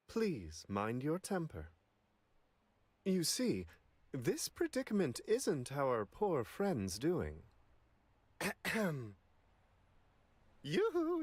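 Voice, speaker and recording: A man's voice: a little high, no room sound, heavily acted. A man voicing a courtly, unflappable celestial attendant in an anime video game, with a prim, polite, theatrically lilting delivery. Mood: politely coaxing.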